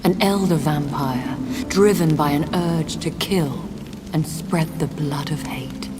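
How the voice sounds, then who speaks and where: A woman acting a refined, sorrowful vampire noblewoman in a video game, speaking in a formal, solemn, measured way. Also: deep voice. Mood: grave devotion. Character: refined, sorrowful, devoted female vampire noblewoman of great age. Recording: music and rain behind the voice.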